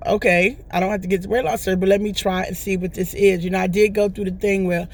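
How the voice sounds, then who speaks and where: A woman with a hoarse voice that is still recovering, talking casually and at length to her vlog viewers and often asking "right?". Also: mid-range voice, steady tone, quick pace. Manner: rambling, chatty, often checks in with "right?". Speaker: vlogger. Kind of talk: casual testimonial chat.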